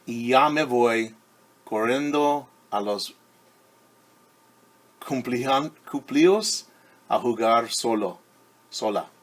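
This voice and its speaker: A man reads a children's picture book as a teacher would, at a slow, patient pace. His pitch sits in the middle range. He explains and wonders aloud for young listeners. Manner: stumbles over and corrects words when reading Spanish. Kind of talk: bilingual story time with commentary.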